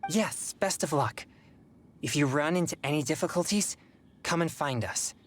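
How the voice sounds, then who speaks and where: A woman's voice: deep voice, lively intonation, moderate pace. A woman playing a polite, earnest, mild teenage boy in a video game, slightly hesitant.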